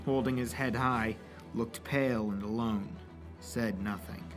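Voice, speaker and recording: A man narrates a dramatic scripted story in a medium-pitched voice. He acts as storyteller for an audio drama, with faint music beneath his words. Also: fairly even intonation, measured pace.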